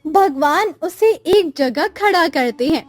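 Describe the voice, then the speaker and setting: A woman's voice: high-pitched, expressive, slow, faint music behind. A woman as narrator, reading a scripted moral story for a cartoon.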